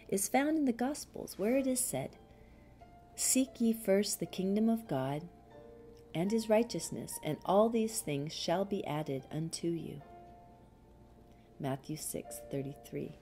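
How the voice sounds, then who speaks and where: A woman reading a spiritual commentary as an audiobook, in a measured, deliberate manner with frequent pauses. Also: mid-range voice, expressive, warm tone, soft background music.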